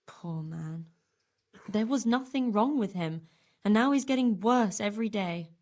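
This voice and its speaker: Actress as a slow-spoken, weary medieval nurse. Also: female voice, mid-range voice, animated, a little mellow, lightly acted. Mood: grave. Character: weary, stern, devoted young medieval woman nursing the sick. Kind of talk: video game cutscene dialogue.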